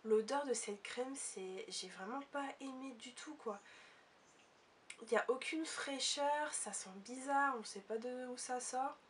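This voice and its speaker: A young woman talks through beauty products in a chatty, hesitant way. Her voice sits high and sounds stuffy from a cold. She is a little distant from the microphone. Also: measured pace, slight background noise. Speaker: beauty vlogger. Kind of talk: casual product review.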